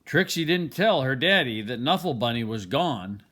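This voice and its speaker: An older man reading a children's picture book aloud, expressively and deliberately, with dramatic pauses. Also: medium pitch, expressive, fairly bright tone. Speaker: storybook reader.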